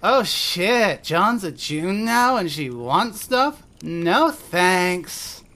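Woman acting a bitter, rambling young woman, swinging pitch. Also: very animated, extremely slow, a bit bright, heavily acted. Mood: bitterly indignant. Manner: rambling, sweary, self-deprecating. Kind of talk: fandub audio drama, first-person monologue.

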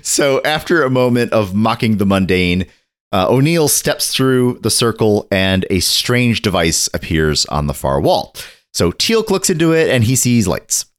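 A man talking with a lot of lift and swing in his voice, jumping from deep asides to high, excited bits. He's a bit gravelly from a head cold and keeps riffing and joking through his rundown. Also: unhurried. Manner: animated, jokey, drifts into bits and riffs. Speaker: podcast co-host. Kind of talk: casual chat.

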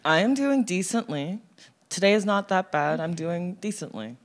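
A woman in her twenties talks casually as a podcast guest. Her voice is quite low for a woman and swings widely in pitch, with slangy, candid, emphatic phrasing. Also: lively intonation, moderate pace. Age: 20s. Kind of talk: casual chat.

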